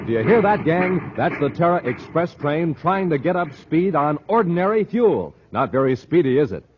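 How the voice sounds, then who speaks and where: A man's voice: fairly high pitch, big pitch swings, moderate pace. An American man announcing and narrating in a dramatic, punchy, scripted 1950s radio style. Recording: muffled, hissy old radio recording.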